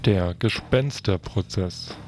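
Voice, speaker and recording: A man's voice: deep voice, lively intonation, slow, creaky, over loud music. A man making programme announcements as a host in a radio studio.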